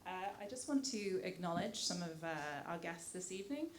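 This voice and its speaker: A woman's voice: lowish voice, lively intonation, normal speed, a little hiss or hum. British-raised woman addressing a meeting. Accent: British with some American mix. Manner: polite, thankful, with frequent "uh" fillers. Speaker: community affairs director. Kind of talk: prepared public remarks at a community meeting. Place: community meeting hall.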